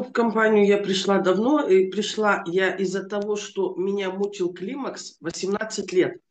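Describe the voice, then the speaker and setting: An older woman giving an emphatic, talkative personal testimonial, sounding amazed at her own story. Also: fairly high pitch. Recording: roomy echo.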